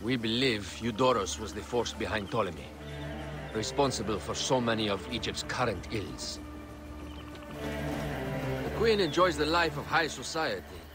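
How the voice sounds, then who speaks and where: A low-voiced male actor playing a royal adviser in an ancient Egyptian game drama. He is composed and courtly, choosing words with formal, measured care. Music plays behind him. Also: lively intonation, lightly acted. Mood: counselling, discreet. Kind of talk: dramatic video game acting.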